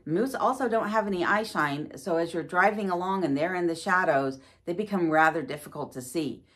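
A middle-aged woman vlogger explaining things to camera in a measured way, stressing key words. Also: lowish voice, a bit bright. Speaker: vlogger, host. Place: wood-panelled room at home, indoors.

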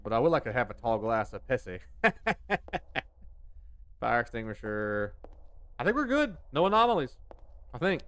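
Man in his 30s, game streamer, smooth voice that swings up and down, rambling jokes on a headset. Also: medium pitch, very animated, moderate pace, long pauses, fairly bright tone. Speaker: gaming streamer. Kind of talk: casual live commentary while playing. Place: home desk indoors. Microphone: headset mic.

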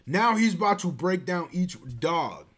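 Brief: man in his thirties, reaction host, high voice that swings wide, slow drawn-out hype, small bedroom on a webcam. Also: sing-song, a bit bright. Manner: hyped, exclaims and swears, drawn-out praise. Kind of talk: excited reaction commentary. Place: small bedroom with gaming chair and storage drawers.

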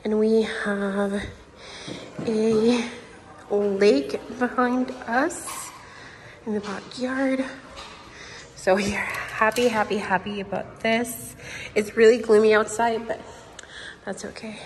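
Slow-talking excited Puerto Rican woman. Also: mid-range voice, slight background noise. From Puerto Rico. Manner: excited, gushing and chatty. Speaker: vlogger. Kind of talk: home tour vlog. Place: large empty new house, indoors. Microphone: handheld phone camera.

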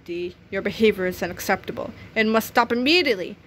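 A woman voicing a strict male substitute teacher in a children's graphic novel read-aloud, speaking in a flat, commanding way. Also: medium pitch, fast talker, a little hiss or hum, natural voice. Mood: stern.

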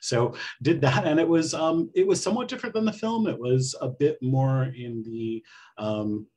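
A middle-aged American man from Connecticut with a husky voice, chatting casually as an interview guest on a video call. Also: medium pitch, moderate pace, a little mellow. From Connecticut, United States. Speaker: guest playwright.

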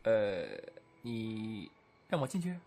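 Devoted, placating businessman played by a man; radio-play romance, soft-mannered with expressive pitch. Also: slow. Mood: tentative. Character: patient, placating businessman devoted to his lover. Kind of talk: audio drama acting in Mandarin.

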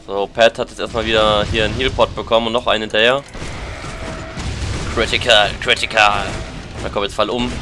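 A man's voice: fairly low pitch, flat delivery, fairly quick, long pauses, noisy background. A German man with a lingering cold, chatting casually as he plays and comments on a game, thinking aloud. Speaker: let's play commentator.

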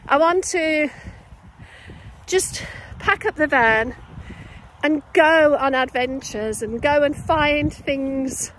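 A woman's voice: sits high, takes it slowly, fairly bright tone, poor audio. A woman in her late fifties talking reflectively to camera, recorded outdoors on a phone held at arm's length. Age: late 50s. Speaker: vlogger. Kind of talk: personal reflective vlog to camera. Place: outdoors in open countryside on a walk. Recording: clear outdoor background noise.

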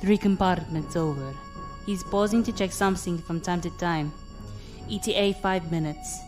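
A woman as dangerous alien pirate, low-pitched, terse, cold, audio drama, music behind. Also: moderate pace, close to an everyday voice. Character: strong, dangerous non-human female pirate, coldly lethal.

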